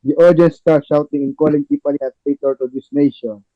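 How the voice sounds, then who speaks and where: A man's voice: on the high side, steady tone, fast talker, no room sound. A man narrating stage directions in an amateur table read, recorded dry and a little dull. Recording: dull lo-fi, dry close sound.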